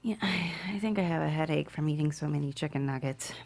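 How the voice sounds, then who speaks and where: A woman's voice: low-pitched, fast talker, vocal fry, a little mellow. A woman playing a tipsy, evasive and wistful woman in a crime comedy table read, rambling and hesitant. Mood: guilty, evasive.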